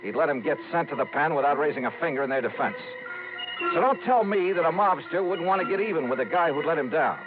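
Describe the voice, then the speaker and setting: Over a tinny old radio recording with music behind, a man plays a shrewd, slangy American detective. His pitch swings freely as he fires off wry, punchy lines in 1950s radio drama style. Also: expressive, fast, close to an everyday voice. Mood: wry, determined. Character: brash, shrewd male freelance insurance investigator.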